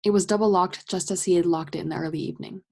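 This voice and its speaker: A woman narrating a ghost tale in a radio play with a breathy voice and measured, dramatic delivery. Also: on the low side, steady tone, darkish tone. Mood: measured, eerie. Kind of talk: scripted narration.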